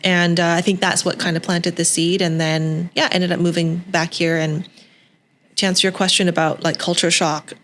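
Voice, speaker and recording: A woman's voice: fairly low pitch, level tone, moderate pace, fairly bright tone, a little hiss or hum. A woman in her thirties who grew up in Canada, speaking as a podcast guest in a reflective way, with many fillers and restarts. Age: 30s. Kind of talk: podcast interview. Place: Hong Kong.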